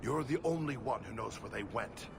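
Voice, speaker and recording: Over clear rumbling noise comes a middle-aged dwarf merchant in a fantasy game. His voice is gravelly and creaky, and he sounds like an indignant father who both accuses and pleads. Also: expressive, moderate pace. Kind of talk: video game voice acting.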